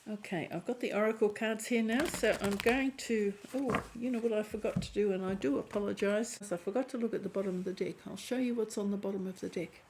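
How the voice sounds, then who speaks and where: A woman giving a chatty tarot reading to camera, hoarse and often clearing her throat as her voice fades. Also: mid-range voice, a little mellow, a little hiss or hum. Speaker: tarot reader.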